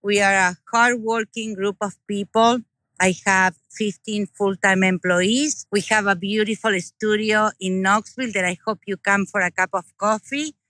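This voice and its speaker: A woman's voice: mid-range voice, fairly even intonation, moderate pace, dry recording, poor audio. Enthusiastic Argentine-accented woman. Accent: Argentine Spanish. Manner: warm, enthusiastic, earnest. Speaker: interview guest, business owner. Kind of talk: casual interview talk.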